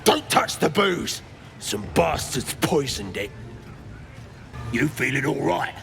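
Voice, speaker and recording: A man playing a surly, coarse goblin drinker in a video game, with a gravelly, belligerent voice. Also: mid-range voice, big pitch swings, takes it slowly, fairly bright tone, soft background music, full character voice. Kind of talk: video game character acting.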